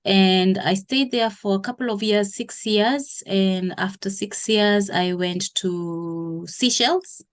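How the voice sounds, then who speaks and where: A woman from Kenya in her thirties, a guest in an interview, who explains things at length with pauses and self-corrections. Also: mid-range voice. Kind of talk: interview conversation.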